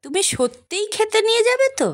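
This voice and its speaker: A woman's voice: very high voice, sing-song, fast talker. A woman voicing a village wife and mother in a cartoon moral tale, homely and questioning. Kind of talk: Bengali moral-story cartoon dialogue.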